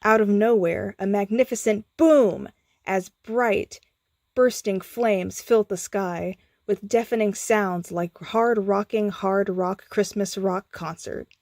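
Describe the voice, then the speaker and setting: A woman reads a bedtime story as a storyteller. Her delivery is theatrical and deliberate, with a medium pitch and a dry, close sound. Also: fairly even intonation, darkish tone, no echo. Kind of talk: scripted bedtime story narration.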